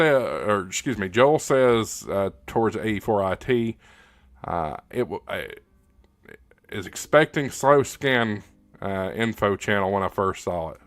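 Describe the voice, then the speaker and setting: Man, low voice, dry sound, livestream host, casual chat, answering viewer comments, thinking aloud. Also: no echo.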